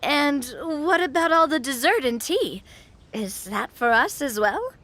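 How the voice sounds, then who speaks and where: A woman's voice: high voice, lively intonation, a little slow, a bit bright. An actress voicing a cheerful, confident young woman who is a veteran tabletop player and talks in a breezy way. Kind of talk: game cutscene voice acting.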